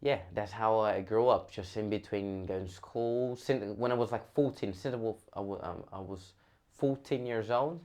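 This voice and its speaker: Low-voiced Brazilian man, halting speech. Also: a little mellow. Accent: Brazilian Portuguese. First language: Portuguese. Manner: halting, many restarts and fillers. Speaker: interview guest, fighter. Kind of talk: casual interview.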